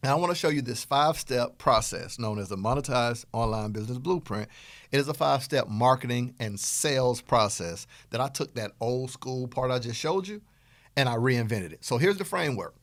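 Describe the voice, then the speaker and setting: A Southern American man coaching his audience on business, talking with a folksy, high-energy swing. His pitch rises and falls a lot as he makes his points. The sound is dry and close, with no room echo. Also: moderate pace. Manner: energetic, animated, folksy with jokes and laughs. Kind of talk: direct-to-camera teaching talk.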